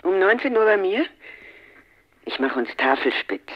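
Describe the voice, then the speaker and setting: A man's voice: sits high, big pitch swings, unhurried, muffled recording, exaggerated voice. An actor playing a detective's teasing, caring male friend over the telephone in a radio play. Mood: concerned, friendly.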